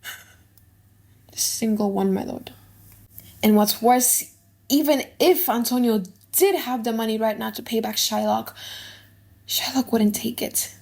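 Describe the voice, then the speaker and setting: A woman's voice: a little high, a little slow, gravelly, long pauses, a little mellow. A woman voicing a grave male messenger who brings bad news, emphatic, in an audiobook play. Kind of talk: dramatic audiobook reading of a play.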